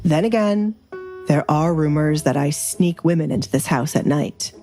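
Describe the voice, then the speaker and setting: A woman's voice: low voice, moderate pace, mellow, soft background music, voice pushed down, lightly acted. A woman voicing a dangerous nobleman duke in an audio romance, formal, commanding and dryly teasing. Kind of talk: dramatized audio romance dialogue.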